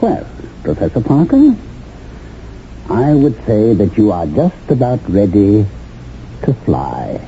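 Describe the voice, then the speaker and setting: A commanding male mastermind, insistent and oracular. His pitch leaps dramatically as he pronounces and persuades. It sounds like a thin, phone-like 1970s broadcast with faint noise. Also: huge pitch swings, slow, pauses often, full character voice. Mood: probing. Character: commanding, persuasive mastermind of a shadowy identity-changing company. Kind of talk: 1970s radio drama acting. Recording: tinny, band-limited old radio, faint noise.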